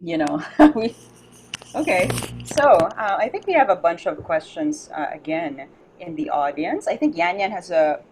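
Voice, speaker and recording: A woman's voice: lowish voice, expressive, measured pace, background noise. A woman in her thirties moderating a video-call panel, playful and reading out audience questions. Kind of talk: casual panel hosting.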